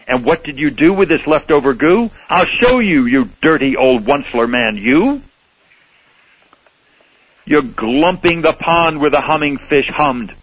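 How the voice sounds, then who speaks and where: A man's voice: a little high, fairly bright tone, muffled recording, slight background noise. A man voicing a small, grumpy old forest guardian creature, scolding in rhyming verse.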